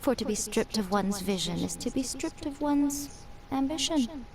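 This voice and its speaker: An actress playing a wise, elegant shrine lady in a video game, speaking in a measured, lofty, faintly amused way. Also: high voice, lively intonation. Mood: grave.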